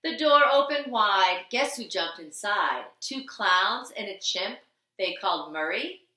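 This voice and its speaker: Animated woman reading a storybook, slow pace. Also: mid-range voice, reverberant. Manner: expressive, deliberate, sing-song rhyming delivery. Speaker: teacher reading a picture book aloud.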